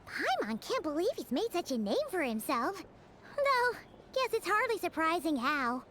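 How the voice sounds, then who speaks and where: A woman voicing a small, childlike, excitable fairy companion in a video game, with a squeaky voice and chatty, exclamatory delivery. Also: normal speed, background noise. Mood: curious, chatty. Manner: refers to self in third person, exclamatory. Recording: music behind the voice.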